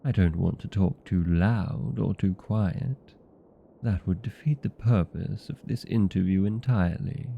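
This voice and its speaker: A man playing a vain, playful, chatty old vampire in a comedic audio roleplay, with a gravelly, husky voice and theatrical, courteous manner. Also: sits low, unhurried, lo-fi sound, a little hiss or hum. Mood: earnest, chatty.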